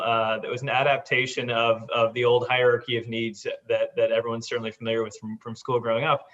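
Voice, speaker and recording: A man's voice: medium pitch, fairly bright tone, lo-fi sound. A man, a company founder, speaking at length in a panel discussion, rambling with many repeated words.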